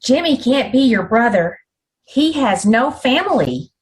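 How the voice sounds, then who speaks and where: A woman playing a devoted, sorrowful sweetheart in an old time radio drama, speaking earnestly and haltingly. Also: a little high, big pitch swings. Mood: subdued, sorrowful. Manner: halting, earnest, repeats herself.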